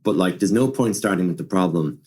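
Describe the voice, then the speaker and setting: A man with a low voice discusses technology as a podcast guest. He works through his thoughts aloud in a conversational way, circling back, rephrasing and peppering sentences with small filler words. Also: expressive, fairly quick, a little mellow, slightly rough. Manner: repeats and rephrases, punctuates with "like" and "you know". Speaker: podcast guest, machine learning director.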